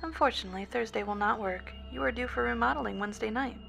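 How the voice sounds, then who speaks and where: A woman's voice: on the high side, quick pace, vocal fry, a bit bright, faint music behind. A woman playing a polite, unflappably cheerful telephone operator for a sinister company in a scripted horror audio story. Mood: courteous, menacing. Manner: scripted customer-service courtesy, unflappable.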